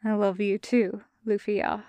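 A woman reading fan fiction aloud, voicing a guarded, brooding young male pirate who speaks quietly and low. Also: little pitch movement, unhurried, no echo, close to an everyday voice. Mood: subdued.

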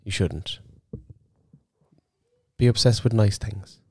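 A teasing Irish man in his late twenties, trading dry, sweary jabs in a casual podcast chat. His voice sits on the low side and is recorded dry and close. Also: slow, darkish tone, husky, dry recording. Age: late 20s. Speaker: podcast co-host.

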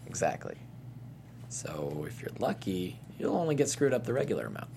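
An actor playing a sardonic paramedic in a naturalistic comedy table read. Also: male voice, sits low, huge pitch swings, normal speed, vocal fry, mellow, heavily acted. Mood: dry, wry. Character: sardonic, jealous male ambulance paramedic. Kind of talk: naturalistic comedy script reading. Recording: faint background noise.

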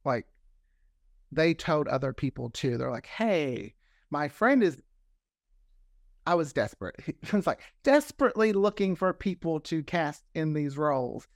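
A man with a somewhat high voice talks casually as a guest in an interview. The sound is dry, with no room to it. He tells his story conversationally, often quoting himself and others in an acted-out way. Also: a little slow, no echo. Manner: animated storyteller, often acts out quoted remarks. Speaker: guest, audio drama creator.

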